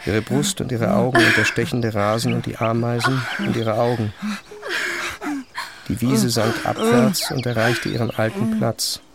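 A man reading first-person literary narration in an introspective, measured manner. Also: medium pitch, vocal fry, heavy background noise. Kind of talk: literary scripted narration, audio drama. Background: music.

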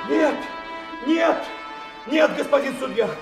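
Tormented executioner, strained high pitch, pleading, radio drama, echoey. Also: male voice, slow, vocal fry, full character voice. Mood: anguished, pleading. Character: anguished male executioner, desperately refusing, protective elder brother. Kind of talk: radio play acting. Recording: echoey studio, music behind.

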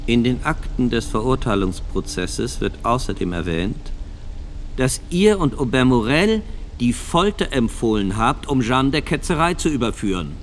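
An actor playing a formal, matter-of-fact examiner questioning a witness in a radio drama. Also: male voice, medium pitch, lively intonation, normal speed, a little hiss or hum, close to an everyday voice. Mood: sober, probing. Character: formal, probing male inquiry examiner.

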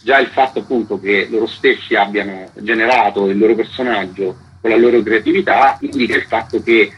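A husky, breathy man with a mid-pitched voice explains his live role-play game in a calm, measured way. He sounds muffled and dull, like a radio broadcast. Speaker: game designer, guest. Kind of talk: radio interview.

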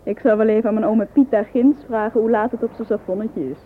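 A woman's voice: on the high side, fairly even intonation, fast talker, narrow, tinny audio, lightly acted. An actress playing a cheeky, bold, teasing girl in radio drama dialogue. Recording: thin, band-limited old radio recording.

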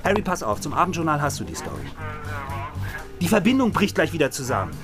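Cynical radio reporter in his thirties, hot-tempered, pompous delivery, wildly swinging pitch, radio drama, music behind. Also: huge pitch swings, fast talker, creaky, heavily acted. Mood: agitated, pleading. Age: 30s. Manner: pompous reporting breaking into coarse cursing. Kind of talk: German radio drama acting, mock live reportage.

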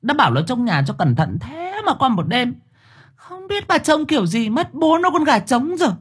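A man's voice: sing-song, moderate pace, fairly bright tone, breathy edge, exaggerated voice. A man voicing a fierce, scolding middle-aged village shamaness. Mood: furious. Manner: shrill, scolding.

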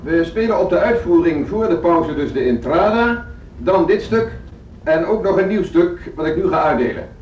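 A man's voice: on the high side, expressive, moderate pace, darkish tone, close to an everyday voice. A man acting in a radio drama as a stern, condescending orchestra conductor who speaks formally and commands his pupils. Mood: condescending, firm. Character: stern, authoritarian music school orchestra conductor, losing patience. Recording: echoey, distant, dull noisy radio recording.

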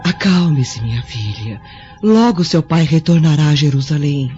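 A man with a Brazilian accent playing a devoted, soothing female servant in a gentle, theatrical radio drama style. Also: fairly high pitch, moderate pace, natural voice. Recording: dull lo-fi radio drama, faint music.

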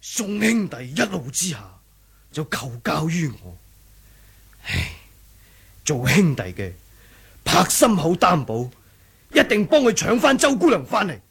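Scheming sect elder, male actor, eloquent and deferential, slightly high husky voice, wuxia radio play. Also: very animated, measured pace, long pauses, fairly bright tone, exaggerated voice. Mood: confiding, earnest. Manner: deferential, theatrical, persuasive. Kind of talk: Cantonese martial-arts radio drama acting.

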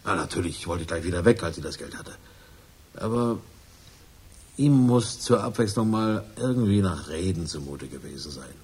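An actor playing a nervous, self-justifying crook confessing in a radio crime drama, halting and colloquial. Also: male voice, low voice, animated, a little mellow, slightly rough. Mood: tense recounting.

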